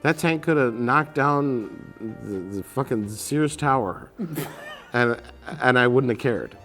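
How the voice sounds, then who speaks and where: A man's voice: mid-range voice, slow pace, a bit bright, soft background music. A man in his forties co-hosting a casual movie chat in a dim studio, rambling and laughing as he riffs. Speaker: co-host. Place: dim studio set with curtains.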